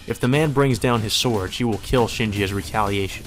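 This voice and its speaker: A man's voice: fairly low pitch, a little fast. A man reading visual novel narration aloud as a let's play host. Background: faint music.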